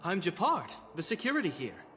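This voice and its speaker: A polite male guard captain from a video game, formal and dutiful. His voice sits high and moves animatedly, ringing with long reverb. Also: wildly swooping pitch, brisk, a bit bright, full character voice. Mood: dutiful welcome. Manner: formal, courteous. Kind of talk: scripted video game character dialogue. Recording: long reverb with faint music.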